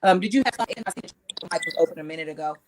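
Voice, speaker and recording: A woman's voice: on the low side, rapid-fire, background noise. Frank, folksy woman hosting a call. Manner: frank, folksy, addresses listeners as ladies and sis. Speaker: discussion host. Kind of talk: casual group discussion. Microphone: online call mic.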